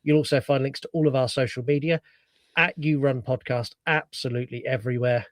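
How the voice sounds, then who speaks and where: A man's voice: mid-range voice, steady tone, a little fast, no echo, clean studio sound. Nasal man with a cold hosting. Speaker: podcast host. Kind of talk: casual chat and show plugs.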